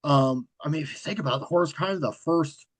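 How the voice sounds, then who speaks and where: A man as a guest in a casual interview. He speaks in a self-described monotone, even manner. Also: on the high side, fast, no room sound. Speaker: guest, horror content creator.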